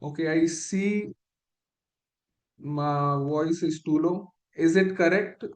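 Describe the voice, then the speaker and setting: A man's voice: fairly high pitch, slow, roomy sound. A man instructor leading a live online spreadsheet lesson, explaining step by step and often restarting his phrases.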